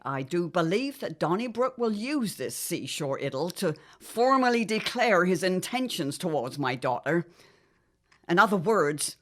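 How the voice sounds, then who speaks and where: An arch middle-aged matriarch with witchy airs in a period radio play. Her low voice swoops dramatically and lingers over words, drawn-out and grand. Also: a bit bright. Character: theatrical, arch mother playing at being a witch. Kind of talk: period audio drama acting.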